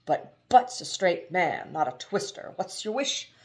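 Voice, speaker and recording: A woman voicing an enormous, jolly male bus driver with a booming voice and stuttering repeats. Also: animated, unhurried. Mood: hearty, obliging.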